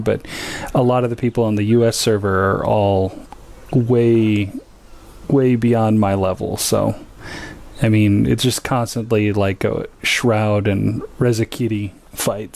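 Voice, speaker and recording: A man chatting casually and a little haltingly as he streams a video game. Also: lowish voice, steady tone, vocal fry, darkish tone. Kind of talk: casual gaming livestream chat.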